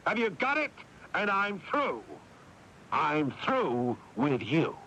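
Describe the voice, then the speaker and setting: An actor playing a world-weary, exasperated older brother who narrates a 1980s radio drama with brooding guilt, in dramatic delivery. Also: male voice, a little high, big pitch swings, takes it slowly, pushed and bright, a little hiss or hum, full character voice. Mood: fed up, angry. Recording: dull lo-fi AM radio broadcast.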